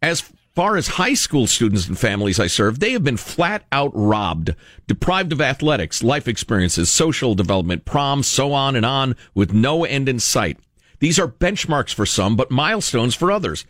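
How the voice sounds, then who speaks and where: An American man hosting a talk show, reading aloud and commenting with emphatic, deliberate phrasing. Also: mid-range voice, big pitch swings, fairly bright tone, dry recording, studio quality. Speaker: radio talk show host.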